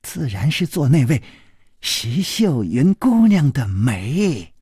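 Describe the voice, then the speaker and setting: A man's voice: mid-range voice, very animated, slow pace, dark tone, breathy edge, no echo, studio quality, exaggerated voice. A man voicing a cheeky, mocking half-grown street boy in a storybook. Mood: scornful.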